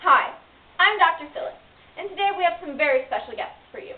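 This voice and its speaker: A woman's voice: extremely high pitch, lively intonation, fast. A teenage girl playing a chirpy talk show host in a school skit. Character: female talk show host, bubbly and scolding. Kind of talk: amateur school skit acting. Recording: tinny, echoey, distant camera audio.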